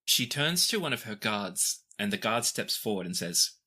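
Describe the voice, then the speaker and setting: Bright-voiced male game master. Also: lowish voice, sing-song, moderate pace, slightly rough. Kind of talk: narrating a tabletop game.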